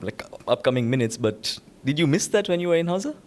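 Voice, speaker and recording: A man's voice: on the high side, very animated, fast talker. A man hosting a podcast, opening the episode and asking his guest curious questions in an upbeat way. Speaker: podcast host. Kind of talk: podcast interview.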